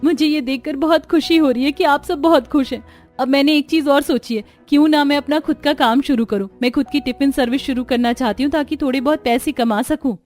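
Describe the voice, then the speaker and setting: A woman's voice: high voice, fairly quick, darkish tone, faint music behind, voice pushed up, close to an everyday voice. A woman playing a caring, determined young daughter-in-law in a cartoon story, speaking in an earnest, reassuring way. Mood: happy, hopeful.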